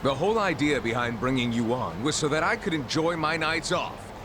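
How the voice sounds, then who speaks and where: A man's voice: a little high, expressive, brisk, close to an everyday voice. A man doing a flamboyant, breezy businessman. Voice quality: breezy, self-satisfied. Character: flamboyant carefree male businessman on the phone. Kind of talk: video game cutscene dub. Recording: outdoor street noise.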